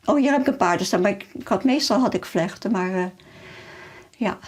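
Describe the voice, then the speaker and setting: A woman's voice: mid-range voice, animated, moderate pace, husky. A middle-aged woman talking about her hobby and memories in a personal interview, as a hobbyist. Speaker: miniature-making hobbyist being interviewed.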